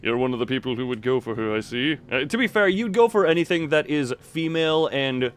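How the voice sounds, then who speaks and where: A man's voice: medium pitch, expressive, a little fast, clean tone. A man doing casual live video game commentary, rambling playfully to his viewers and repeating himself as he exclaims.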